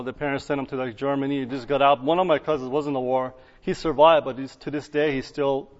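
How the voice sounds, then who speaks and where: A man from Iran sharing his conversion testimony with an audience, in a halting way full of fillers and restarts. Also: on the high side. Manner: halting, heavy with fillers and restarts, light chuckles. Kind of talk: personal testimony talk to an audience. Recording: echoey hall, dull lo-fi sound.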